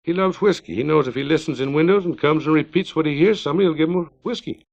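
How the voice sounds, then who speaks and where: A man's voice: on the high side, fast, creaky, darkish tone, voice pushed up. A man reading an earnest small-town man's emphatic, colloquial dialogue in a story, on a 1950s record. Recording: dull 1950s vinyl record.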